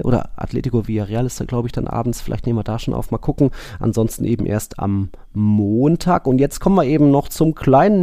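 A man's voice: medium pitch, warm tone, dry recording, clean studio sound. A man hosting a football podcast, talking casually and informally in a chatty way. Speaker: podcast host.

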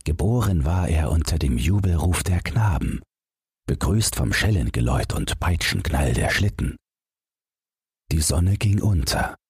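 A male audiobook narrator with a low, breathy, husky voice. He reads a bedtime fairy tale slowly, with expressive rises and falls in pitch. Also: no room sound, studio quality.

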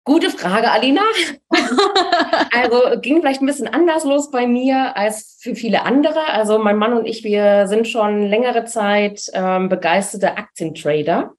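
A woman's voice: a little high, unhurried. A woman who is a business coach answering podcast interview questions in a casual, enthusiastic way, with slangy words and frequent laughter.

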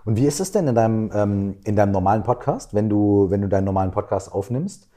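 A middle-aged man hosting a podcast interview, talking in a relaxed, slangy way and thinking out loud with lots of fillers. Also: lowish voice, fast talker. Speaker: podcast host, rapper. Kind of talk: casual interview chat.

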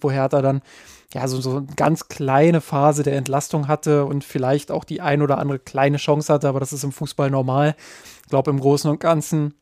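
A man co-hosts a football podcast in a rather high, very flat voice. He talks hesitantly, filling gaps with fillers and repeating words mid-sentence, and the sound is close and dry with no room echo. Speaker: podcast co-host. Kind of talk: casual football podcast chat.

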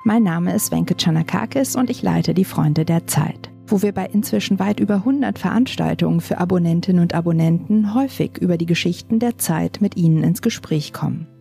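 A woman presenter reading a scripted podcast introduction with an even delivery. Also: lowish voice, brisk, creaky, few pauses, soft background music.

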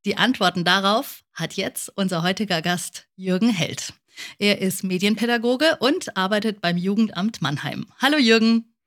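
Woman, podcast host doing interview style presenting, normal mid pitch. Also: moderate pace, fairly bright tone.

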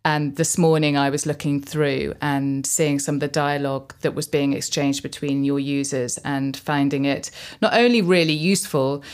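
A woman hosting a podcast interview, speaking in a measured, articulate way. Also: low-pitched. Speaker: podcast host.

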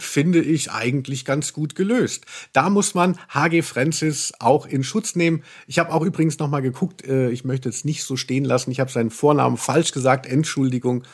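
A man's voice: fairly high pitch, husky. A man co-hosting a podcast in a casual, ironic discussion, explaining things in a mock-erudite way. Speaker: podcast co-host, pop journalist.

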